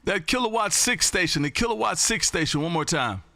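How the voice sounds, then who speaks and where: A man in his fifties from Texas, hosting a live amateur radio stream from his home radio room and calling out for contacts in a loud, drawn-out sing-song. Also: high-pitched, lively intonation, gravelly, heavy background noise. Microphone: studio boom mic, headphones.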